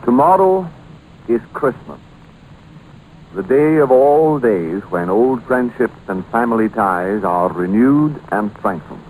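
A man giving a solemn, formal holiday address in a measured 1940s radio style. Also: medium pitch, animated, darkish tone. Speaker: radio speaker. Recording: noisy old 1940s radio recording.

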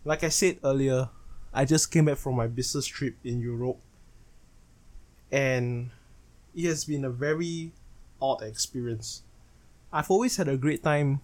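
A man's voice: medium pitch, a little mellow, poor audio. Singaporean man hosting a solo podcast. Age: 20s. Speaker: podcast host.

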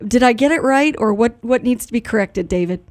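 Chatty American woman hosting, higher voice. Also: lively intonation, fairly quick, darkish tone, no echo. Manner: chatty, informal. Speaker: radio show host. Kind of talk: casual live radio interview. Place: radio studio.

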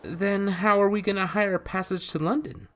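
A man's voice: high voice, fairly even intonation, normal speed, a little hiss or hum, voice pushed up, close to an everyday voice. A man voicing a young boy who questions his stepfather doubtfully.

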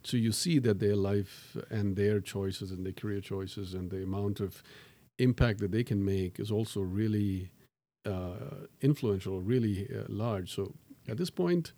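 A man's voice: lowish voice, unhurried, few pauses, warm tone. A middle-aged man, a professor, talking in a thoughtful, self-deprecating way in a conversational interview, with many fillers and restarts. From born in Tanzania to Indian parents.

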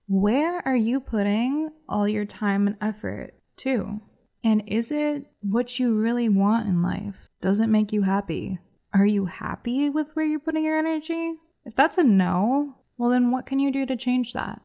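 A woman's voice: a little high, a little mellow. A woman podcast host, a little congested, doing a solo card reading in an upbeat, encouraging way. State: congested from a cold.

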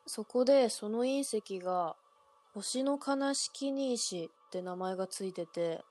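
A woman's voice: medium pitch, slow pace, a little mellow, no room sound, faint music behind, close to an everyday voice. A woman performing in an amateur radio drama as a young woman casually telling friends an urban legend. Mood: chatty.

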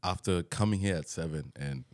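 A young man whose first language is Dinka, from South Sudan, chatting casually on a podcast, laughing often and quick to apologize. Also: sits low, darkish tone, no room sound. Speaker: podcast co-host. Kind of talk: casual chat.

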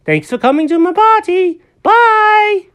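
A woman's voice: very high-pitched, animated, unhurried, voice pushed up. A woman voicing a naive, greedy male kitty cat who is obsessed with eating fish. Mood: proud. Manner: eager, wide-eyed and fish-obsessed.

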